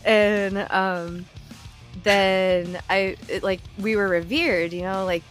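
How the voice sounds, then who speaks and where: A woman's voice: mid-range voice, takes it slowly, faint music behind. Laughing, swearing woman telling stories. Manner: animated storyteller, swears freely, laughs often. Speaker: podcast host. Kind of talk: casual chat.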